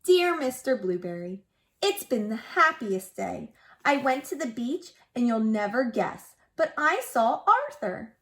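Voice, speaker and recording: A woman's voice: a little high, very animated, a little slow, fairly bright tone, exaggerated voice. A woman voicing an earnest young girl writing letters in a picture-book read-aloud. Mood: happy.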